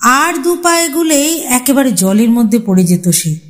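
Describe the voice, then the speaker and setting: A woman narrating a horror story as a storyteller, with a suspenseful, expressive dramatic reading. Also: high-pitched, normal speed.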